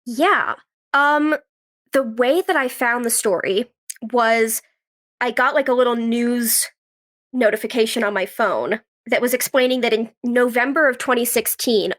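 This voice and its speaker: A young woman with a fairly high voice speaks as a guest in an interview. She talks casually and conversationally, telling her story with plenty of "like" and little quotes of what she said or thought. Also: moderate pace, a bit bright. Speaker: podcast guest, actress and writer.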